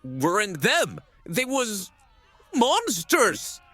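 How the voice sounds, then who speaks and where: Shrill, sobbing madman. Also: wildly swooping pitch, very slow, bright, forceful tone, dry recording, soft background music, heavily acted. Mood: sobbing, desperate. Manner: sobbing, pleading, broken speech. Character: weeping, half-mad condemned townsman, wailing in terror. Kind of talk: audio drama acting.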